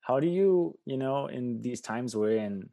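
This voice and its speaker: A man's voice: mid-range voice, lively intonation, a little slow, creaky, no echo, lo-fi sound. A man hosting a conversational interview, reflective and feeling his way through his thoughts.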